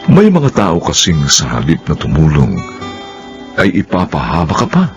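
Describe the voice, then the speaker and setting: A man's voice: a bass voice, huge pitch swings, normal speed, lo-fi sound, soft background music. A man with a Filipino accent delivering dramatic scripted narration for a radio drama.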